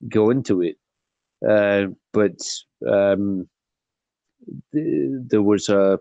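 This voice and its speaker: A man with a Scottish accent from the Highlands, talking casually in a group discussion, hesitant with many fillers and restarts. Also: extremely slow, warm tone, lo-fi sound.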